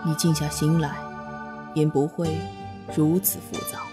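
Woman doing a low, flat male celestial. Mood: stern. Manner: slow, stern and even. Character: calm, aloof male celestial monk. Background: background music.

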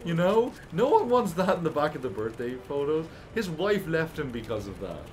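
A man's voice: fairly high pitch, very animated, moderate pace, background noise. A man co-hosting a gaming channel, joking and ranting in casual banter, laughing often.

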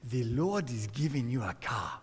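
A man putting on a Nigerian accent to play a generous churchgoer from his congregation, speaking in a slow, declaring tone. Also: medium pitch, lively intonation, husky, pitched below the performer's own voice. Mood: solemn. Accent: Nigerian impression. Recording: reverberant church hall.

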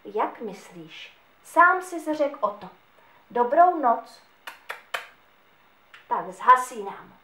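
A woman's voice: sits high, sing-song, long pauses, pushed and bright, far from the mic, slight background noise, heavily acted. Woman doing a curt scolding mother. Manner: disapproving, curt. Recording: echoey room.

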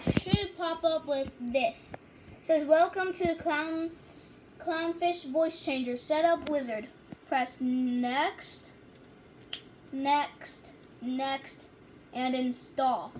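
Female tutorial host, high voice, casual and halting step-by-step delivery, noticeably noisy handheld recording. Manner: halting, repeats and corrects herself. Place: indoors at a home computer. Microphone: handheld phone or camera, filming screen.

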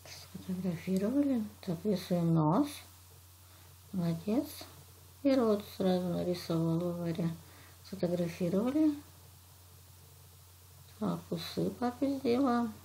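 A woman's voice: mid-range voice, lively intonation, measured pace, creaky, pauses often, mellow, rough recording. A woman teacher from Russia walks a child through a drawing lesson step by step, patiently praising and narrating each action.

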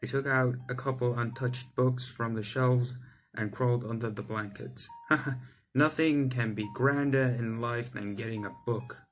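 Young adult male gaming host, mid-pitched, casually reading story text aloud with off-the-cuff remarks, music underneath. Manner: stumbles over text and rereads lines. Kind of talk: reading visual novel aloud with casual commentary. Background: game music.

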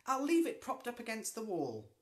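A man's voice: mid-range voice, very animated, unhurried. A man voicing a spoiled, sly schoolgirl witch with a whiny, scheming way of talking in a storybook reading. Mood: sugary.

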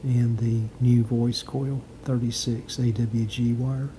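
A man's voice: mid-range voice, little pitch movement, takes it slowly, dark tone, slight background noise. A man giving a clipped, matter-of-fact how-to voice-over as he narrates repair work step by step. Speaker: radio restorer and repair channel host.

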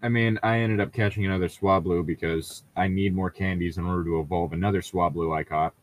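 Low-voiced man, sardonic casual banter. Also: fairly even intonation, a little fast. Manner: sardonic, sweary. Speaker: podcast co-host.

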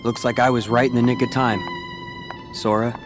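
A man's voice: medium pitch, little pitch movement, moderate pace, music in the background, lightly acted. A young man playing a guarded, brave teenage hero with a dry, understated delivery in a game cutscene. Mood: wry, determined. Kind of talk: scripted video game cutscene acting.

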